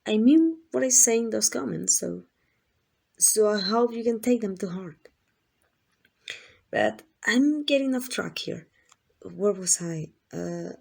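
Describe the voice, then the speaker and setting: A woman's voice: mid-range voice, gravelly, breathy edge, full character voice. A woman playing a lovesick, possessive female kidnapper in an intimate ASMR roleplay, doting and rambling in a flustered, coaxing way. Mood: infatuated, possessive. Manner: coaxing, rambling, hesitant asides.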